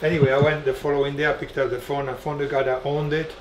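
A middle-aged man from France, a guitar collector, telling animated stories with frequent laughter in a dim indoor room. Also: on the high side, fast, slight background noise. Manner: animated anecdotes, laughing often. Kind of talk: storytelling interview. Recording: echoey room.

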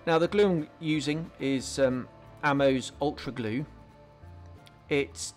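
Man explaining a model build, unhurried. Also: a little high, soft background music. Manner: casual, explanatory, frequent ums and restarts. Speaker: scale modelling channel host. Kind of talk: relaxed hobby tutorial voice-over.

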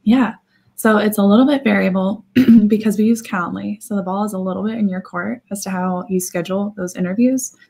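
A woman's voice: medium pitch, fairly even intonation, a little fast, creaky, mellow, slightly rough. Congested woman answering panel questions. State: congested, raspy from smoke. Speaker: recruiting manager on a panel. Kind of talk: informal panel Q&A. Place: indoor room, video call. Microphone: video call.

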